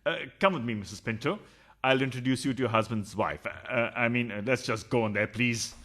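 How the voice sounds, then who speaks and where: A man's voice: mid-range voice, brisk, a bit bright, natural voice. A man playing a scheming, philandering householder in a farcical radio play, sarcastic and evasive. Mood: placating. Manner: sarcastic, evasive, fumbling through excuses. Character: flustered, scheming philandering householder, sarcastic middle-class husband.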